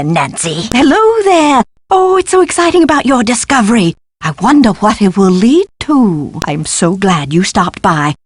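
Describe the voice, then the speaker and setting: A woman's voice: medium pitch, no echo. An actress playing an eccentric, fussy woman scholar in an adventure game, with gushing, pompous, theatrical delivery and precise diction. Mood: chirpy, excited. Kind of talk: scripted video game dialogue.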